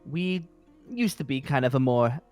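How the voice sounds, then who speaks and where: A man acts out an eager guild leader in a fantasy game roleplay. The delivery is lively and swooping, yet hesitant and apologetic, wandering through each explanation. Music plays softly underneath. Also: mid-range voice, natural voice. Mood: earnest, hopeful. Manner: hesitant, rambling, halting. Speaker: roleplay performer. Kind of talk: improvised Minecraft roleplay dialogue.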